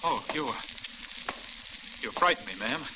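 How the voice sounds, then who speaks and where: An actor playing a courteous, unsmiling lunch-counter cook, halting and subdued, in a 1950s radio drama. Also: male voice, medium pitch, expressive, lightly acted. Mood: uneasy, polite. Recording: noisy muffled old radio recording.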